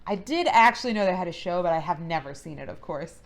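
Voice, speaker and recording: A woman co-hosting a podcast, reading a listener email and answering it in a relaxed, soft way. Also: on the low side, fast. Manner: relaxed, soft-spoken, trails off quietly. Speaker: podcast co-host. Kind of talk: casual chat, reading listener mail.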